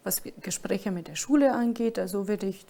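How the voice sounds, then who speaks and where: A woman's voice: medium pitch, fairly quick, vocal fry, dark tone. A middle-aged woman who works as a school mediator, telling personal anecdotes in an interview. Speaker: school mediator for Roma pupils.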